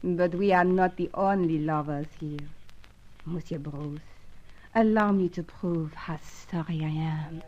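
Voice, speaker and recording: An actress playing a flirtatious, jealous cabaret dancer with a French accent, speaking coquettish broken English in a melodramatic radio serial. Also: female voice, low-pitched, a little slow, dark tone, a little hiss or hum. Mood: amorous. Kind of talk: old-time radio serial acting. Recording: dull lo-fi old radio recording.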